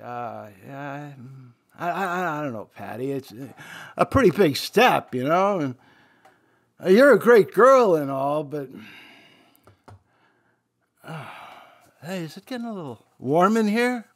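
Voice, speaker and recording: An actor playing a smug, evasive, adulterous CIA agent in a radio play, stammering at times. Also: male voice, a little high, expressive, slow, long pauses. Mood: nervous, evasive. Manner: flirtatious, hesitant and stammering. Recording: radio drama with music underneath.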